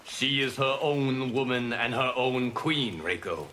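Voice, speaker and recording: An actor playing a calm, solemn, merciful guardian god in a video game, speaking in a measured, formal, earnest way. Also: male voice, medium pitch, very noisy, natural voice. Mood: firm.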